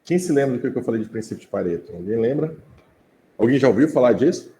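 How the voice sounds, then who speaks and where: A man's voice: medium pitch, animated, quick pace, warm tone, slight background noise. A man with a Brazilian Portuguese accent teaching an informal class, talking conversationally and often checking in with his students. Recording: roomy echo.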